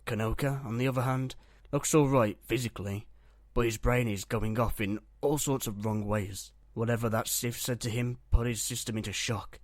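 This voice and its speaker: A man playing a calm, reassuring ship's medic in a roleplay series, speaking matter-of-factly. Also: medium pitch, normal speed, no echo, studio quality, close to an everyday voice. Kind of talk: Minecraft roleplay web series voice acting.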